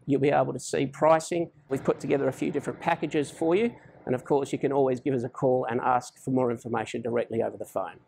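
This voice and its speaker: A man's voice: medium pitch, fairly even intonation, fast talker, creaky, darkish tone, breathy edge. A man giving an informal, plain-spoken product overview as a presenter. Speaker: presenter for a security installer.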